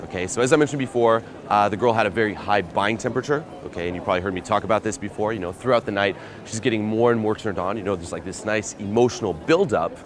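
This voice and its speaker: A man with a somewhat low voice narrates as a dating coach. His delivery is loose and profane, full of "okay" and "you know". A faint hiss sits under it. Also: fast talker, few pauses. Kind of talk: commentary breaking down a recorded pickup.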